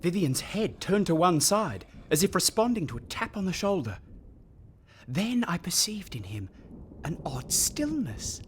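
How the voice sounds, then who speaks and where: An actor playing a sensitive young orphan who narrates a gothic radio mystery in a hushed, intense, literary way, with a breathy voice. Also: male voice, sits high, sing-song, unhurried, slight background noise. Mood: fearful, hushed. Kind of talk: dramatic first-person radio play narration.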